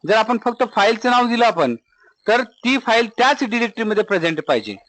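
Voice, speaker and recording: High-pitched Indian man teaching programming. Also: big pitch swings, brisk, a bit bright, low-quality recording. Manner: explanatory, checks back with questions, repeats himself. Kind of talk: live classroom lesson with screen demonstration.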